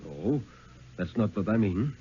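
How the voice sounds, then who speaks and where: A stolid head lighthouse keeper, as a male reader puts him on, with a low, husky voice. He speaks soberly and with grave, measured certainty. It comes from a dull vintage radio thriller recording. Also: very animated, warm tone, heavily acted. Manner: grave, measured, matter-of-fact.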